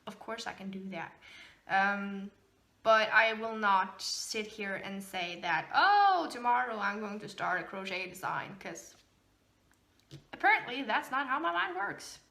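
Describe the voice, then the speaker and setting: A woman's voice: medium pitch, animated, measured pace, gravelly, pushed and bright. A woman whose native language is Norwegian, hosting a casual knitting podcast and chatting freely with frequent laughs and fillers. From Norway. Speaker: podcast host, knitting designer. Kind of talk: casual chat.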